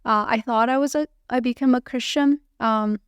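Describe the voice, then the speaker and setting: A woman in her thirties from China with a Chinese accent, speaking as a translator guest in a casual interview, hesitant with many fillers and soft laughs. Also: fairly high pitch, steady tone, moderate pace, clear, resonant tone, no echo, clean studio sound.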